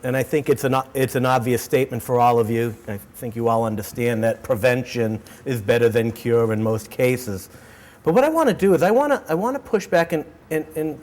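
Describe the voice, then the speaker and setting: A middle-aged man with a Boston accent, giving a keynote talk to students in an animated way, often repeating words and restarting sentences. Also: mid-range voice. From Boston, United States. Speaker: keynote speaker. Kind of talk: energetic lecture to students. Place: auditorium.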